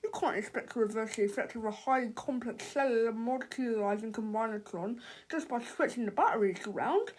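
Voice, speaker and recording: A condescending know-it-all father and scientist from a storybook, played by a woman. He sounds patronising and scoffing, in a fairly high voice. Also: a little fast, hardly any pauses, darkish tone, close to an everyday voice, low-quality recording. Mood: smug, dismissive.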